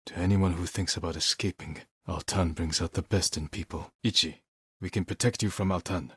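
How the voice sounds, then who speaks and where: An actor playing a solemn, resolute samurai warrior in a video game, speaking in a measured, earnest, formal way. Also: male voice, low-pitched, fairly even intonation, a bit bright, breathy edge, dry recording, studio quality, full character voice. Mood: steady, serious. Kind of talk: dramatic video game voice acting.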